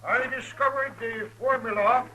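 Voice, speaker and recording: An actor playing a bombastic, smooth-talking quack professor with a grandiose oratorical sales pitch in a 1950s radio western. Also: male voice, steady tone, normal speed. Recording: noisy old radio show recording.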